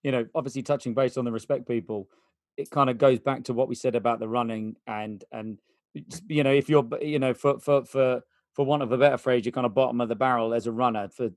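A podcast host poses long, winding questions in a casual chat, padding them with fillers. His voice is mid-pitched and the sound is dry and close. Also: fairly even intonation, brisk, no echo.

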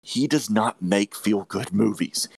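Male podcast film reviewer, low voice, dry sound, emphatic and opinionated, repeats himself for stress. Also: unhurried, vocal fry, husky, no echo. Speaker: podcast host reviewing films. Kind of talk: opinionated movie review chat.

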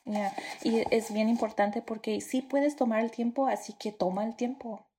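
A woman guest who teaches dental hygiene, answering in a halting way with many pauses. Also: a little high, steady tone, dark tone, a little hiss or hum. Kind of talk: interview answers.

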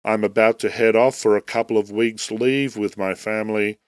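A man with a head cold and a raspy, nasal voice, hosting a podcast and reading a prepared theology essay in a measured, formal way. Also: fairly low pitch, steady tone, no echo. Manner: measured, formal, deliberate delivery. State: tail end of a head cold. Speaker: podcast host.

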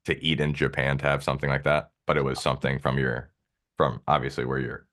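A man's voice: very deep voice, level tone, quick pace, fairly bright tone. A man hosting a podcast, reading a scripted intro with a dry, self-deprecating joke and then asking questions casually. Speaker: podcast host.

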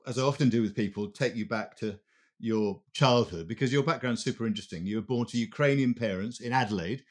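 A man in his sixties hosting a wine podcast, asking brisk, chatty questions. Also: medium pitch. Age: 60s. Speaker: podcast host, interviewer. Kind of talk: podcast interview.

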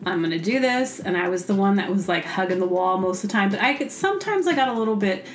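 A woman's voice: medium pitch, animated, fast, slight background noise. A woman chatting casually as a podcast host, telling rambling, self-deprecating stories and acting out her own reactions. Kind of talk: casual comedic storytelling. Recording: roomy, echoey sound.

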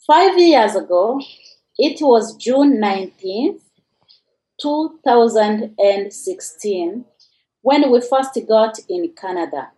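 A woman with a Rwandan accent telling a personal story for radio, with dramatic pauses and rhetorical questions. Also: on the high side, animated, mellow, poor audio.

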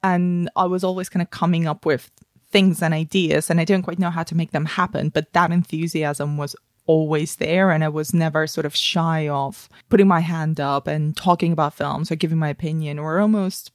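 A woman's voice: low voice, steady tone, normal speed, dry recording, studio quality. A woman in her thirties from Spain, a guest telling her career story in a podcast interview, thoughtful with many "kind of" fillers and restarts. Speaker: guest, film industry professional.

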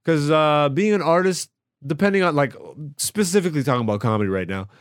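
A man's voice: fairly high pitch, animated, quick pace, clear, resonant tone, no echo. A man born in Greece doing a brash, slangy, casual comedy podcast monologue, swearing freely as he hosts from a home studio.

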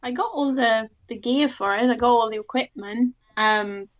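A woman's voice: fairly high pitch, moderate pace, lo-fi sound. A woman whose first language is Swedish, chatting casually as a guest and laughing often. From Sweden. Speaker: guest artist. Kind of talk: casual chat.

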